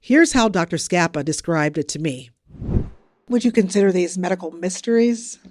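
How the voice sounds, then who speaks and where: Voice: medium pitch, expressive, moderate pace, no room sound. Scripted news podcast host, close dry sound.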